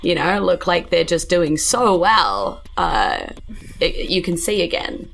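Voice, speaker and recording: A woman's voice: lowish voice, big pitch swings, takes it slowly, creaky, a bit bright. A woman with a New Zealand accent improvising tabletop game narration as game master, informal and descriptive with hesitant phrasing.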